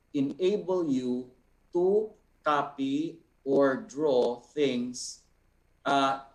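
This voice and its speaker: A man with a Visayan Filipino accent explaining as an art teacher, unscripted and deliberate, going step by step with tag questions, in a roomy, echoing recording. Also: on the high side. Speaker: art teacher, guest speaker.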